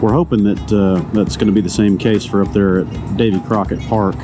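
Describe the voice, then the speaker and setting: A man riding a motorcycle and chatting casually to the camera through a helmet mic, rambling, loose and quick to swear and chuckle. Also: fairly low pitch, steady tone. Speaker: motorcycle vlogger. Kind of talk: casual ride commentary. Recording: music playing under the voice.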